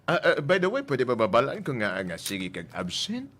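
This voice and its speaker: A man's voice: medium pitch, wildly swooping pitch, normal speed, a bit bright, a little hiss or hum. A man with a Visayan accent playing a solicitous, flirty office boss in a comedic radio drama, stammering and coaxing.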